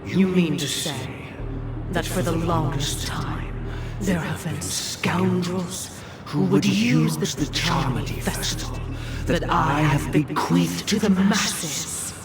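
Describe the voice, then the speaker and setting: Grave, stately dream lord. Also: male voice, fairly high pitch, over loud music. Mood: solemn. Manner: grave, deliberate, formal. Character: lofty elderly male dream ruler, measured. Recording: game dub with loud score.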